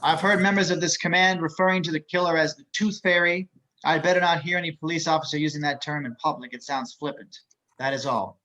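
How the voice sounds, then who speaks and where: Actor as male police commander, stern, admonishing, highish pitch, forceful, table-read acting. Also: steady tone, brisk, low-quality recording.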